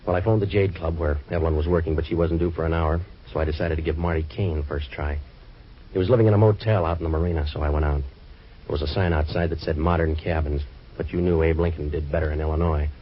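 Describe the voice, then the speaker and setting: An actor playing a wisecracking waterfront boat-renter turned private eye, narrating in hard-boiled first person. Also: male voice, very deep voice, steady tone, quick pace, husky. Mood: wry. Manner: dry, sardonic wisecracks. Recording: dull old radio recording with faint hiss.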